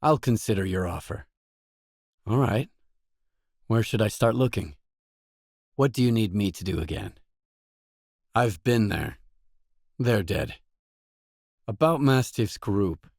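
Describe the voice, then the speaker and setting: An actor playing a terse, guarded mercenary in a video game, speaking scripted lines in a matter-of-fact way. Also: male voice, fairly low pitch, lively intonation, slow, vocal fry, pauses often, darkish tone, husky, no room sound, clean studio sound, exaggerated voice.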